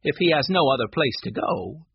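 A narrator voicing a kindly aunt in a children's storybook, measured and gently firm. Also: medium pitch, lively intonation, gravelly, breathy edge, no room sound, muffled recording, full character voice. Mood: kind, amused.

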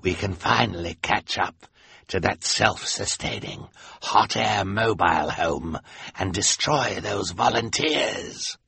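Man playing a hoarse creepy henchman, low and raspy. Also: takes it slowly, sharp tone, exaggerated voice. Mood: sinister. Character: sinister bearded henchman, hoarse.

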